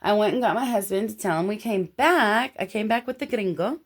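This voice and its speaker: An American woman from Los Angeles talking casually and telling personal anecdotes in an animated, slangy way. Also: medium pitch, brisk. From Los Angeles, United States.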